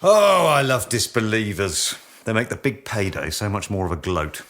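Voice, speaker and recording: A man's voice: on the low side, sing-song, normal speed, fairly bright tone. An actor playing a driven, bossy male project leader in a radio drama, blunt and commanding. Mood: boastful, commanding. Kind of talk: naturalistic radio drama acting.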